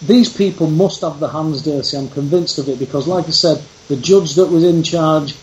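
A man's voice: fairly high pitch, moderate pace, muffled recording, a little hiss or hum. A man speaking as a guest in a casual conversation, laying out his case in an earnest, insistent way.

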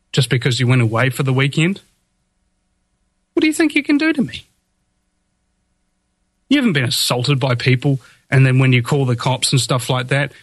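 Man, show host, whiny tone, defiant rant, stumbling restarts, mid-pitched, dry close sound. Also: a bit bright, no room sound. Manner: defiant, ranting, many fillers and restarts. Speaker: podcast host. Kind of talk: solo monologue.

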